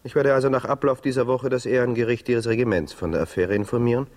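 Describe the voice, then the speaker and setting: An actor playing a cold, accusing gentleman in a radio play, speaking in a formal, cutting, controlled way. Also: medium pitch, fairly even intonation, fast, long reverb tail, lightly acted.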